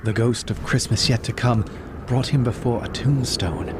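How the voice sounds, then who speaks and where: A man narrating a scripted dramatic tale as storyteller, solemn and measured. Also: medium pitch, steady tone, background noise. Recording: music behind the voice.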